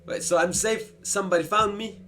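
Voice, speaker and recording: A man's voice: high voice, brisk, slight background noise. A man giving live commentary as he plays a horror game, often repeating himself. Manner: excitable, stammering, repeats himself. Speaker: gaming video host. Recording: music playing behind the voice.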